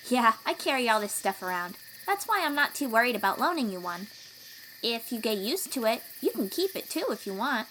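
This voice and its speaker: A woman playing a young, protective sea-dweller warrior in an audio roleplay, encouraging and gently teasing as she talks straight to the listener. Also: on the high side, very animated, fairly quick, slight background noise, poor audio. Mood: encouraging, instructive.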